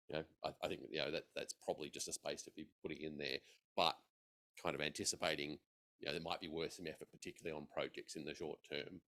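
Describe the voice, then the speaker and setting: Very deep-voiced man rambling at a conference. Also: a bit bright. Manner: rambling, many fillers and restarts. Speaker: audience member commenting. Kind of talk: conference floor comment.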